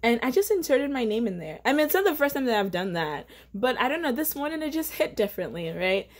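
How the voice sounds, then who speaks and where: A woman's voice: a little high, sing-song, fast. A young woman, sharing a casual devotional encouragement to camera at home, earnest and chatty with many fillers. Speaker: faith vlogger. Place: indoor living room at home.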